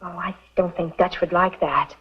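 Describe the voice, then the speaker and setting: An American actress playing a kind, gentle young woman from a mining camp in a vintage western. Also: lowish voice, fairly even intonation, normal speed, husky. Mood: friendly, apologetic. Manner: gentle, friendly. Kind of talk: 1950s-60s western TV acting. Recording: dull vintage soundtrack, faint music behind.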